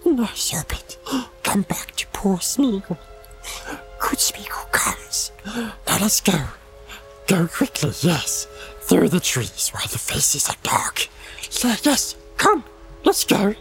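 A man voicing a wretched, wheedling cave creature for an audiobook, with a hissing, gurgling rasp, broken childlike speech and a sly, suspicious manner. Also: sits high, sing-song, slow pace, fairly bright tone, faint music behind, pitched above the performer's own voice, exaggerated voice, low-quality recording. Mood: wheedling, urgent. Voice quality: hissing, gurgling, raspy whisper. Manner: broken childlike speech, talks of self by name. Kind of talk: audiobook character voice.

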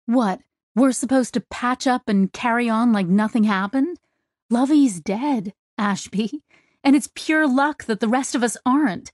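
A woman's voice: a little high, measured pace, a little mellow, dry recording, studio quality, pitched above the performer's own voice, lightly acted. A woman voicing a young female starship crew member, grieving and angry, speaking through tears. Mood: upset. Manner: tearful, fierce.

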